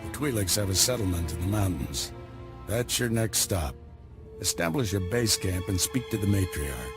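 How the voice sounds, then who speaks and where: A calm Jedi master with a dry sense of humor, guiding his apprentice. His voice is fairly low and his delivery is steady and measured. Orchestral game music plays clearly underneath. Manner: calm, wry, mentoring. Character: wry, patient older Jedi master and mentor.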